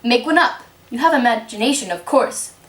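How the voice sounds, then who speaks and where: A woman's voice: fairly high pitch, lively intonation, moderate pace, lightly acted. A woman voicing a brusque, contrary tea-party host in an amateur puppet show, speaking insistently. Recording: roomy, distant microphone.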